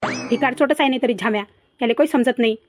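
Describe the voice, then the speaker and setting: A woman's voice: on the high side, fast talker, soft background music, lightly acted. A woman voicing a mischievous, boastful village boy in a cartoon comedy, chattering and teasing in a Varhadi Marathi accent. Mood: complaining.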